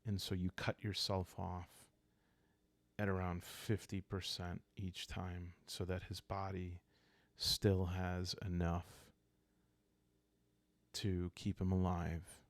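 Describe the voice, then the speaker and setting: Low, slow, gravelly man narrating. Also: no room sound. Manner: calm, hushed, unhurried storytelling with dramatic pauses. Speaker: solo roleplaying game player and host. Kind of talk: improvised narration of a journaling game.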